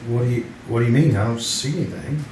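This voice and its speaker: An actor playing an inquisitive, wry crime photographer sleuth in an old-time radio style drama. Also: male voice, medium pitch, fast talker, a little mellow, lightly acted. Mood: curious, wry. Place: live theater stage. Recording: distant echoey stage recording, clear noise.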